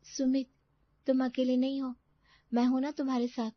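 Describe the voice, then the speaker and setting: A woman voicing a kind, gently concerned young college girl in a cartoon story. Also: high-pitched, flat delivery, moderate pace, dry recording, dull audio, voice pushed up, natural voice. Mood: tender.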